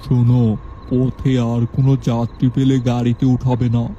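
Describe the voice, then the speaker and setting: A man voicing a tall, stout male graveyard caretaker who speaks slowly and gravely, giving calm commands. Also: mid-range voice, highs cut off, a little hiss or hum, natural voice.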